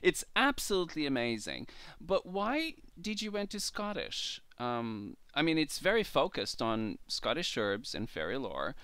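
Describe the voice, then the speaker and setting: A man's voice: fairly high pitch, very animated, unhurried, fairly bright tone, clear, resonant tone, no room sound. A man hosting an interview show, effusive and repetitive, stumbling over his phrasing. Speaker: talk show host. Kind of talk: casual interview chat.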